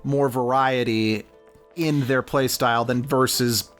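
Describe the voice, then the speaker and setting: Male gaming commentator, mid-pitched, casual and opinionated, rambling over music. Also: steady tone, a little slow, a bit bright. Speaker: let's play commentator.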